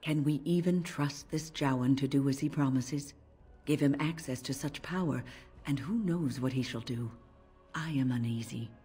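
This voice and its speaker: An actress playing a cautious adventuring companion in a video game, speaking formally and deliberately. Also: female voice, rumbling low, mellow, breathy edge, soft background music, full character voice. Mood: wary. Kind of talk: dramatic video game acting.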